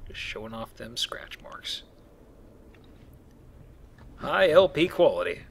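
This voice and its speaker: A man's voice: medium pitch, very animated, slow, vocal fry. A man hosting a let's play, explaining things casually with a joking manner. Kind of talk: casual game commentary.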